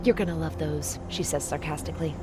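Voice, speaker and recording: A woman's voice: low voice, steady tone, a little fast, music in the background, natural voice, poor audio. A woman playing a quirky, chatty young resistance mage in a comic fantasy game, flippant and casual with sarcastic asides. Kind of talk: comic game briefing dialogue.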